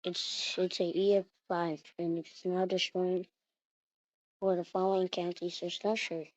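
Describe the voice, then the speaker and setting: A woman delivers a homemade-sounding emergency alert in a flat, low-pitched voice. She speaks haltingly and very slowly, repeating phrases as she goes. The recording is dull and lo-fi. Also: dry recording. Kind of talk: improvised mock emergency alert announcement. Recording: muffled lo-fi recording.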